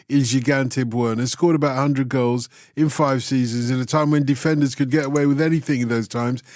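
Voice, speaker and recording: A man's voice: mid-range voice, darkish tone, dry recording, studio quality. A man hosting a radio phone-in, chatting casually, teasing his guests and laughing often.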